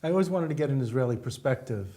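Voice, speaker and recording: A male talk show host with a mellow, warm tone asks his guests quick, curious questions. He keeps the chat moving in a casual interview style. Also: mid-range voice, expressive.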